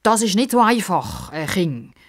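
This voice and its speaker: A woman's voice: lowish voice, huge pitch swings, pitched above the performer's own voice, exaggerated voice. A woman voicing an embarrassed, grumbling old man who stammers and hesitates in a children's story. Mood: stalling. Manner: halting, stammering, hemming and hawing.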